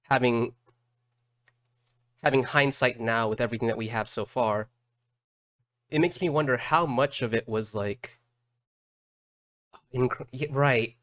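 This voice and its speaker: A man doing casual live reaction commentary as a streamer, halting and repeating words as he thinks aloud. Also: mid-range voice, a bit bright, dry recording. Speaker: streamer reacting to game videos.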